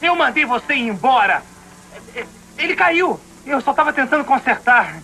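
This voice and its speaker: A man's voice: very high-pitched, very animated, normal speed, sharp tone, slight background noise, heavily acted, poor audio. A man playing a surly, defensive, secretive teenage boy in a cartoon dub, curt and snappish.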